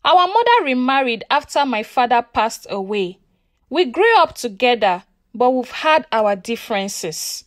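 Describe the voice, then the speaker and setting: A woman with a Nigerian accent narrating a first-person folktale in an expressive, deliberate, emotive way. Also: fairly high pitch, dry recording, clean studio sound. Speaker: storyteller.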